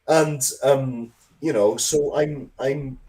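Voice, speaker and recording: A man's voice: medium pitch, fairly even intonation, slow, dark tone. A man chatting casually as an interview guest, rambling and often breaking off to restart his sentences. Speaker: interview guest, comics and game writer.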